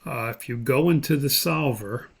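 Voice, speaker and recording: Male instructor, noticeable vocal fry, unscripted software walkthrough, explains step by step while thinking aloud. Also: medium pitch, moderate pace. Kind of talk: screen-recorded software tutorial, unscripted explanation.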